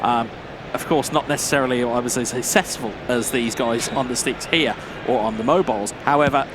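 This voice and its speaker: A man's voice: medium pitch, fairly quick. Male analyst co-commentator, thoughtful, some hesitation, noisy background. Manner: reflective, hesitant with fillers. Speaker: co-commentator, former competitor. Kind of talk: live esports commentary analysis.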